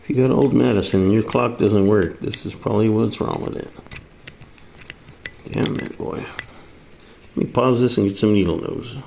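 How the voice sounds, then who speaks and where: A man's voice: on the low side, steady tone, moderate pace, vocal fry, pauses often, dark tone. A man casually demonstrating a hands-on repair, muttering to himself as he works. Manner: muttering to himself while working. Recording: faint background noise.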